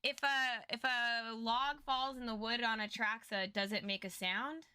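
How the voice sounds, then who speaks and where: A woman's voice: fairly high pitch, steady tone, unhurried, sharp tone, smooth. A woman co-hosting a recap show, giving casual commentary with playful, joking interjections. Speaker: co-host of a lore recap show.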